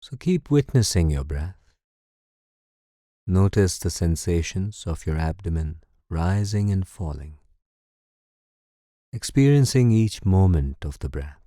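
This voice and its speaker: A man leading a guided meditation in a calm, soothing, measured way. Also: low voice, dry recording, studio quality. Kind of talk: slow guided meditation narration.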